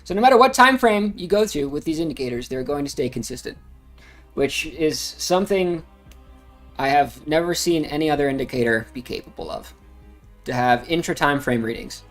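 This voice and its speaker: A man's voice: on the high side, lively intonation, normal speed, a bit bright. A man casually talking through crypto charts on a livestream, rambling with frequent fillers. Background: music playing.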